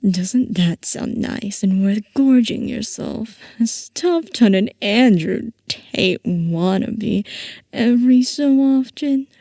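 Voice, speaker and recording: A woman playing a playful, possessive scientist boss who dotes on her assistant, in a soft, coaxing ASMR roleplay. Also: fairly high pitch, slow, dry recording, clean studio sound. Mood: sly, coaxing. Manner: soft, coaxing, affectionate villainy.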